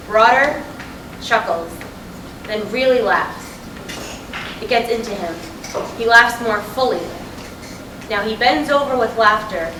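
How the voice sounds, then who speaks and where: A woman reading stage directions as scripted narration at a staged play reading. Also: on the high side. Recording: distant mic in echoey, noisy room.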